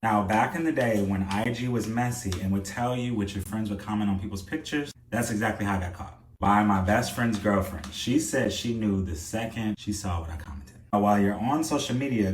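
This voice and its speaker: A man's voice: fairly low pitch, echoey room, distant mic, a little hiss or hum, poor audio. A man giving a blunt, sassy monologue straight to camera as a social media creator. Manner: blunt, sassy, quick delivery.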